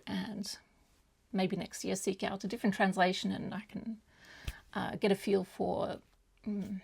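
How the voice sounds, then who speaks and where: A woman's voice: medium pitch, vocal fry, husky. A woman whose first language is German, chatting casually to viewers as a book vlogger in a rambling, hesitant way that often loses its thread.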